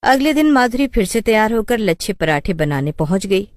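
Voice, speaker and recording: A woman's voice: mid-range voice, very animated, moderate pace, darkish tone. A woman narrator telling a scripted story in a storytelling style.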